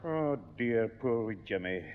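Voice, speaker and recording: An actor playing a kindly older grandfather, gently scolding the boy. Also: mid-range voice, animated, unhurried, clean tone, lightly acted. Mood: gentle. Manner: gently scolding, sing-song. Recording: tinny, echoey old radio broadcast.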